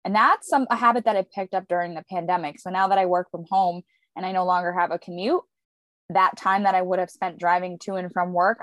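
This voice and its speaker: A woman co-hosting a podcast, explaining her habits in a relaxed, rambling way. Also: fairly low pitch, dry recording. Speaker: podcast co-host. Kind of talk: casual chat.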